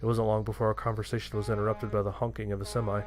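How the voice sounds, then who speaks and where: A man's voice: lowish voice, flat delivery, racing, over music. A man reading a scripted first-person horror story as its narrator.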